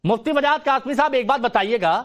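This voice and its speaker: A man's voice: extremely high pitch, expressive, quick pace. A man hosting a heated television panel debate, insistently cutting in and repeating his questions.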